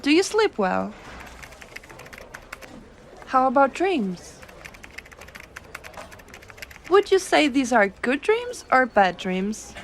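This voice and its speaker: A woman playing a gentle, teasing giantess secretary in an ASMR roleplay, coaxing and cooing softly. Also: high-pitched, very animated, a little hiss or hum, full character voice. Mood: gentle, attentive. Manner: soft coaxing, cooing, unhurried.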